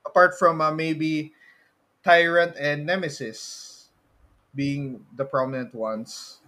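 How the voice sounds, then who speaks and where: A man with a Filipino accent chatting casually about movies as a podcast co-host, halting and prone to chuckling as he talks. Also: a little high, steady tone, pushed and bright.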